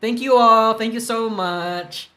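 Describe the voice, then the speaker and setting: Rambling, profane male streamer, high voice. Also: slow pace, bright, forceful tone. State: groggy from a hangover. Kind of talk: casual livestream chat reacting to news.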